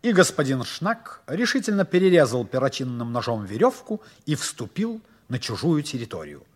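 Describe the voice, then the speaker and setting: An actor narrating a 1960s Soviet radio play as a wry storyteller, with theatrical, expressive emphasis. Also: male voice, mid-range voice, normal speed. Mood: wry storytelling.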